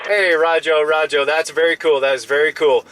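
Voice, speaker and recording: A man's voice: fairly high pitch, steady tone, normal speed, fairly bright tone, a little hiss or hum. A friendly, chatty man talking casually in radio operator jargon from a parked pickup truck, often repeating himself.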